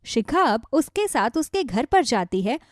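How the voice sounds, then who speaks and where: High-pitched, lively woman storyteller. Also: a little slow, no room sound, clean studio sound. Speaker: story narrator. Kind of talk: scripted children's story narration.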